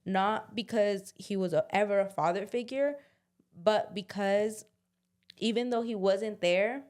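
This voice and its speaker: A woman's voice: mid-range voice, a little slow, darkish tone. Candid young woman podcast co-host. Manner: casual, slangy, confessional, drops into quoted inner voices. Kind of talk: candid casual chat.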